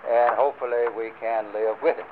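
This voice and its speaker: An older man leading a church group, talking casually with many pauses and fillers. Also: medium pitch, fairly even intonation. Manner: rambling, many fillers and restarts. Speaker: church class leader. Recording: lo-fi phone-like recording with faint hiss.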